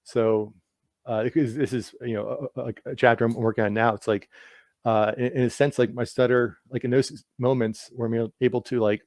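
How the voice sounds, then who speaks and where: A man's voice: medium pitch, level tone, normal speed, a little mellow, dry recording. A man who stutters, answering interview questions casually with many repeated sounds and frequent "like" fillers. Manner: stutters with sound and word repetitions; heavy use of "like" as filler. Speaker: guest author.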